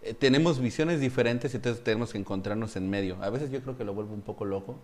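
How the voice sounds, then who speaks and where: A man's voice: on the low side, fast. A middle-aged man from Mexico City with a Mexican accent, hosting a casual live-stream chat and teasing his guest, with lots of fillers. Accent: Mexican Spanish. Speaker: host.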